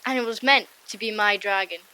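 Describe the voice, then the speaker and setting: A woman's voice: medium pitch, a little fast, bright, forceful tone, close to an everyday voice, poor audio. A woman voicing an earnest young Viking boy hero who explains things plainly in an audiobook drama. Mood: earnest, wondering. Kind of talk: audiobook drama character acting.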